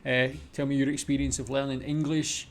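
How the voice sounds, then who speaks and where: A man's voice: medium pitch, flat delivery, fairly quick, slight background noise. A man with a Scottish accent, hosting a reaction video and musing aloud in a chatty way, with frequent fillers. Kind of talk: casual commentary to camera.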